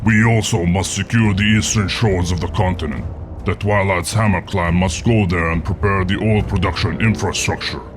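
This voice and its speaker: An actor playing a stern, threatening orc war chief giving imperious orders in a video game cutscene. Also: male voice, low voice, fairly even intonation, normal speed, lightly acted. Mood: commanding. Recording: music under the voice.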